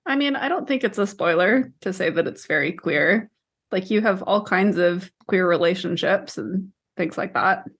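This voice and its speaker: Thirties woman, mid-pitched podcast chat. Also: normal speed, fairly bright tone, slightly rough. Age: 30s. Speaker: podcast co-host. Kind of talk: casual book discussion chat.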